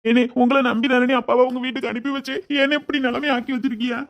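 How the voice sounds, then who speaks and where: A woman's voice: high voice, fairly even intonation, fast talker. A woman voicing an upset grown younger son in a family drama, accusing and scolding with pointed questions. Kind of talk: amateur family drama dialogue.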